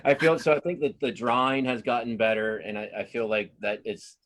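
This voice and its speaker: A man giving a casual interview as a cartoonist guest, speaking haltingly and modestly, often repeating words as he thinks. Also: mid-range voice, fairly even intonation, fairly bright tone.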